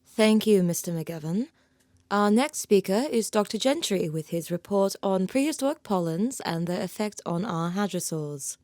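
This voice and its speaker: Actress for female executive in radio play: softly dark tone, clipped, polite, guarded. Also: mid-range voice, expressive, moderate pace, no room sound, clean studio sound, natural voice. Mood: businesslike. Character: guarded, strained professional woman executive.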